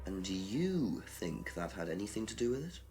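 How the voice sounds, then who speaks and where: An actor playing a persistent, dry police detective inspector in a mystery game, questioning and skeptical. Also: male voice, low-pitched, sing-song, moderate pace, dark tone, soft background music. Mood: probing. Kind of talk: FMV mystery game acting.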